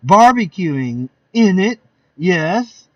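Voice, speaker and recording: A man's voice: high-pitched, big pitch swings, drawn-out words, no room sound, distant mic, highs cut off, pitched above the performer's own voice, exaggerated voice. A man voicing an unhinged middle-aged housewife obsessed with her garden, shrieking and then muttering. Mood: shrill, deranged.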